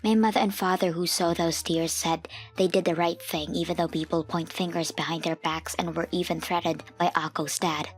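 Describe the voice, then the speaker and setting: A woman's voice: fairly low pitch, steady tone, normal speed, hardly any pauses, breathy edge. A woman narrating a scripted first-person story as a grown woman soberly looking back on a family memory. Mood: sober, reflective. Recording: music under the voice.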